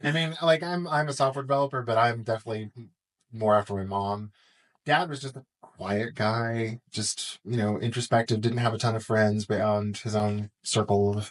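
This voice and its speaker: A man's voice: lowish voice, normal speed. A middle-aged American man from Texas talking casually as a podcast guest in an interview. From Texas, United States.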